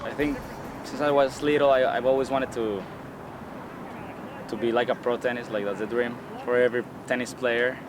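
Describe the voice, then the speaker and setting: Young Colombian athlete, casual and halting, mid-pitched, street noise in the background. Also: male voice, steady tone. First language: Spanish. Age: young adult. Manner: halting, with many restarts and fillers. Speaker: college tennis player. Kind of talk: casual interview answers.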